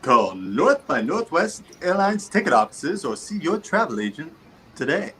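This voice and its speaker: A young man playing a gushing, polished airline pitchman in a vintage radio commercial. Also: very animated, moderate pace, a bit bright, a little hiss or hum. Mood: gushing, persuasive. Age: young adult. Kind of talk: 1930s-style radio advertisement read.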